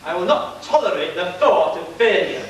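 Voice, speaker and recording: A man's voice: high voice, big pitch swings, normal speed. A man playing a pompous, scheming villain boss in an amateur stage pantomime, with grandiloquent, theatrical delivery. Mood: commanding. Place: church pantomime stage, far camera. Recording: echoey hall from far off, faint noise.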